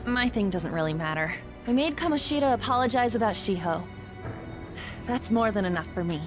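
An actress playing a fiery, loyal teenage high school girl in an English video game dub. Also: lively intonation, fairly quick, music in the background, natural voice. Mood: subdued.

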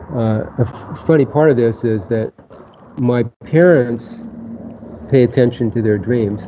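Older guy, latvian first language, slow and flat, stops a lot, kinda muffled audio. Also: medium pitch, slight background noise. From United States. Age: 70s. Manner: slow, halting, many pauses and fillers. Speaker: guest. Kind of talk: casual interview talk.

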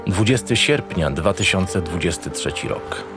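An actor playing a cynical, irritable, foul-mouthed homicide detective in an audio drama, gruff and sardonic. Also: male voice, low-pitched, expressive, measured pace, music in the background. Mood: brooding. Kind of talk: first-person audio drama narration and dialogue.

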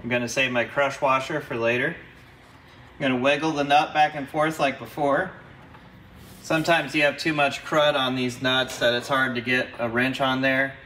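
A man's voice: medium pitch, level tone, moderate pace, sharp tone, slight background noise. A man narrating a car repair step by step, practical and instructive, as the host of his own workshop videos.

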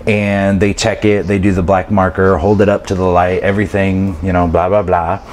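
A man in his thirties chats to his camera in a small apartment room. His voice sits on the low side, and he talks in an animated, chatty way with frequent laughs. A faint background hiss runs under the close camera mic. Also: moderate pace. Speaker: travel vlogger. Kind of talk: casual talk to camera. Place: grocery store, then small apartment room indoors. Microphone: camera mic, handheld then fixed camera close up.

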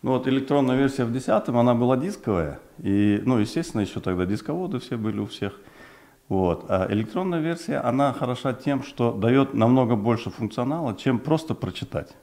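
A man's voice: medium pitch, expressive, a little fast, mellow. A man in his forties whose first language is Uzbek, a guest talking in a studio interview with a desk microphone, speaking thoughtfully with many fillers and restarts. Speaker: project developer guest. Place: small television studio. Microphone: desk mic on stand.

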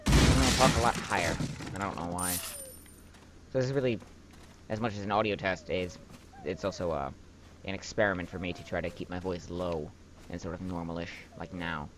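A man's voice: sits low, sing-song, moderate pace, long pauses, background noise. A young man giving casual, joking commentary while playing a video game. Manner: joking, rambling, wry asides. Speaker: let's play commentator.

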